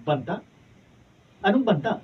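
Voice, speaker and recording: A naive younger Filipino cousin in a radio drama, inquisitive and easily surprised. The voice is fairly high, a bit distant and muffled. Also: male voice, big pitch swings, a little slow, slight background noise. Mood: curious. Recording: dull sound, distant mic.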